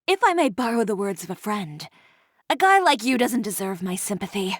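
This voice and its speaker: An actress playing a stern, self-assured young fashion designer in a video game, composed and blunt. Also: female voice, mid-range voice, very animated, moderate pace, dry recording, studio quality. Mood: stern resolve.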